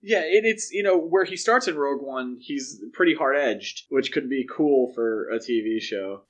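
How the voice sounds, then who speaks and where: A man's voice: medium pitch, expressive, moderate pace, lo-fi sound. A man co-hosting a podcast, discussing film news casually in a speculative, lightly joking way. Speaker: podcast co-host.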